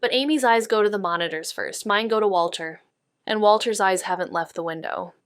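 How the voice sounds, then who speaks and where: A woman playing an awkward, dryly wry amateur sleuth in an audio drama, speaking in a halting, hedging way. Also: mid-range voice, clean tone, dry recording, studio quality, close to an everyday voice. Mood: wry, reflective. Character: awkward young female amateur sleuth, narrating noir-style. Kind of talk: audio drama dialogue and noir narration.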